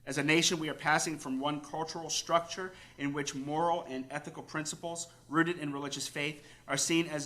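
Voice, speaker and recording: American male priest reading a formal lecture. Bright, forceful tone, slightly high pitch, measured and emphatic. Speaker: priest reading a paper at a conference. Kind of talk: formal read-aloud lecture.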